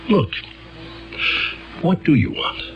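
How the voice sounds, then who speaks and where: An actor playing an earnest, exasperated male defense lawyer in a radio drama, courteous but halting and stammering. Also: fairly high pitch, wildly swooping pitch, muffled recording, slight background noise, exaggerated voice. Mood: flustered, coaxing. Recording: band-limited old radio drama recording.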